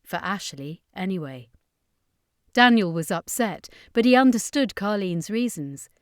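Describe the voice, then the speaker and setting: A woman's voice: medium pitch, animated, unhurried, dry recording, studio quality. A woman reading a scripted fiction story aloud as its narrator.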